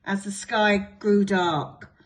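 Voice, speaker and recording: A woman's voice: mid-range voice, fairly even intonation, slow pace. A woman teacher reading a picture book aloud to young children, telling the story expressively and dramatically. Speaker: infant school teacher.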